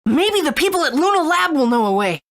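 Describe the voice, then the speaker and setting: High-pitched, eager young boy. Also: fairly quick. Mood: puzzled. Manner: earnest, excitable. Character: earnest, eager young boy adventurer and inventor. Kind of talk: video game voice acting.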